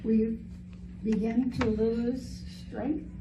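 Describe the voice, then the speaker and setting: A woman's voice: on the high side, flat delivery, drawn-out words, background noise. A woman performing a scripted part in a church reader's theater. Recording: echoey hall, distant mic, music behind.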